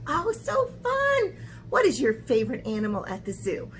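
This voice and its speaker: A woman's voice: high voice, huge pitch swings, normal speed, slight background noise, low-quality recording. A woman talking children through an art lesson as teacher and host, chatty and encouraging, often checking in with short questions. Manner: encouraging, chatty, frequent check-in tags like "okay?". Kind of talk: instructional video talk to children, with read-aloud.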